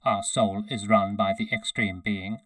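A man's voice: lowish voice, level tone, measured pace, mellow, no echo, lightly acted. A man voicing an obedient, emotionless cyborg centurion in a story, with a metallic, synthesized, toneless, clipped delivery. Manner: toneless, clipped, matter-of-fact.

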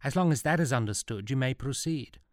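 A man's voice: mid-range voice, animated, brisk, no room sound, clean studio sound, voice pushed up, natural voice. A man voicing a scheming, pompous male royal advisor who is sarcastic and impatient. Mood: condescending. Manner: sarcastic, bossy.

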